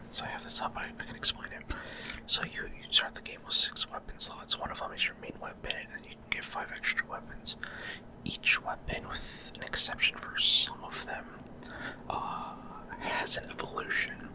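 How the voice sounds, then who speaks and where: Whispered, hesitant ASMR gameplay commentary. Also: normal speed. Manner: hesitant, unscripted explaining. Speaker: video game streamer.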